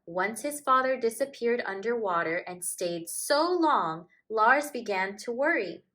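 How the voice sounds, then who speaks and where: A woman teacher reading a picture book aloud to young children in an expressive, emphatic, deliberate way. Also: on the high side. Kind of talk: animated children's storybook narration.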